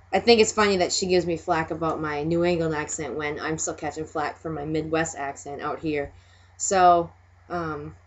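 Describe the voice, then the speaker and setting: A young woman from Minnesota with a Minnesota accent, hosting a casual video chat, chatty and laughing often between remarks. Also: on the low side. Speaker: host. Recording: dull lo-fi sound.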